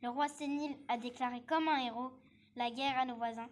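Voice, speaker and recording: A woman performing a bitter, ambitious male war minister in dramatic, declamatory theatrical verse, with measured phrasing. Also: sits high, steady tone, slight background noise. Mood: scornful, gloating. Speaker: actor reading a verse monologue.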